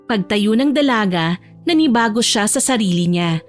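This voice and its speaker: A woman's voice: medium pitch, expressive, normal speed. A woman reading scripted romantic story narration as the narrator of an audio drama. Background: soft music.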